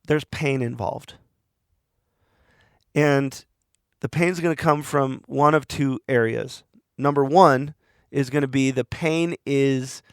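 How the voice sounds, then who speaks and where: A man's voice: medium pitch, no echo, studio quality. A man hosting a podcast, talking alone in a rambling, earnest way while he is losing his voice. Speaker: podcast host. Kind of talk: reflective solo monologue.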